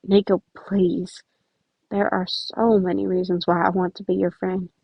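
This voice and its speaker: A woman's voice: fairly low pitch, little pitch movement, measured pace, gravelly, slightly rough, dry recording, muffled recording, pitched below the performer's own voice, exaggerated voice. A woman voicing a stern but caring teenage boy who is earnest and pleading in a story reading. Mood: exasperated, stern.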